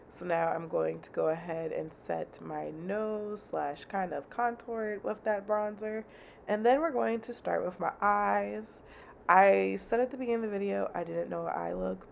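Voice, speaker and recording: A young woman chatting casually through a makeup tutorial, sounding congested and slightly raspy. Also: lowish voice, a little hiss or hum. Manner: chatty, upbeat, slangy. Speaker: beauty vlogger.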